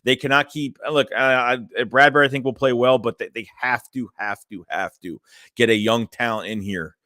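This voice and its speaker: A man's voice: mid-range voice, fairly even intonation, moderate pace, pushed and bright, no echo, studio quality. A young man hosting a casual fan livestream, reading chat messages and rambling loosely with lots of "man" and "dude". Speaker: fan channel host reading live chat.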